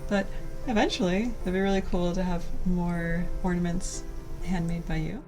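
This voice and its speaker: A woman from California in her thirties, co-hosting a cozy lifestyle video in a soft, slow, gentle way. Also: on the low side, steady tone, loud music behind the voice, poor audio. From California, USA. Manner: soft, gentle, slow delivery with light laughs. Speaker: co-host of a cottage lifestyle channel. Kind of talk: casual scripted chat to camera.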